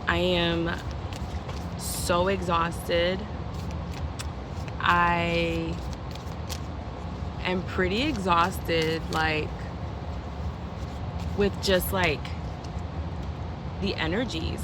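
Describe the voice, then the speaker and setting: A woman giving a casual, unedited tarot reading outdoors, talking in a chatty, meandering way, her voice a little hoarse. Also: on the low side. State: slightly hoarse, recovering from lost voice. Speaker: tarot reader. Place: outdoors in a city, traffic nearby. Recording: outdoor traffic noise.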